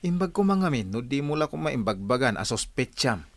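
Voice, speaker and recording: A man's voice: mid-range voice, big pitch swings, moderate pace, no room sound. A man playing a scolding village husband in an Ilocano radio drama, speaking with an Ilocano accent in a lecturing way, with faint music in a dry studio.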